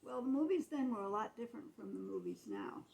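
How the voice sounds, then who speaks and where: Creaky elderly American woman. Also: mid-range voice, huge pitch swings, a little fast, darkish tone. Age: 80s. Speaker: grandmother recalling her childhood. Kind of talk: casual storytelling to family.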